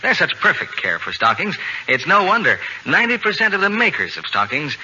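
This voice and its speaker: Very bright, animated male radio announcer. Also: on the high side, brisk. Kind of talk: 1940s radio sponsor pitch, scripted. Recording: muffled old radio broadcast, faint noise.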